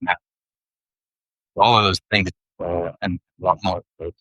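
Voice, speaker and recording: A middle-aged man from Sweden with a Swedish accent, speaking English as a guest in a thoughtful conversation, pausing often and restarting his phrases. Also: low voice, level tone, pushed and bright, dry recording, dull audio. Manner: thoughtful, unhurried, with frequent pauses and restarts. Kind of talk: reflective interview conversation.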